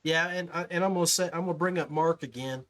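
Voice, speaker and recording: A man's voice: fairly high pitch, fast, fairly bright tone. An American man hosting a podcast, talking casually in folksy, informal speech. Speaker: podcast host. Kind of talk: casual podcast talk and interview.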